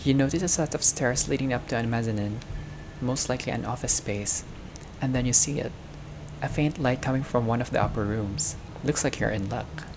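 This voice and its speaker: Male gamer, creaky voice, narrates a visual novel and comments casually; audible noise on the home recording. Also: mid-range voice, a little fast. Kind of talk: game playthrough, reading narration aloud with casual reactions.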